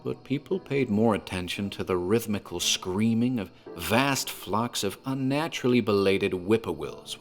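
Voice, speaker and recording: Low male voice, actor as talkative country landlord, horror audio drama, measured storytelling, dramatic pauses, music underneath. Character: talkative rural tavern landlord recounting dark local tale.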